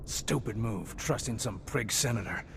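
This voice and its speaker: An actor playing a world-weary, cynical mercenary leader in a video game, gravelly voiced, blunt and sardonic. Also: male voice, fairly low pitch, lively intonation, normal speed. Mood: bitter, resigned. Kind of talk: scripted video game dialogue. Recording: clear ambient vehicle noise. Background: ambient noise.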